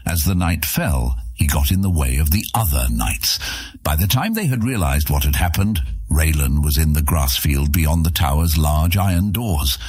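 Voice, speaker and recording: A man's voice: rumbling low, lively intonation, normal speed, a little mellow, slightly rough, no echo, studio quality. A man narrating an epic fantasy adventure serial as a scripted audiobook.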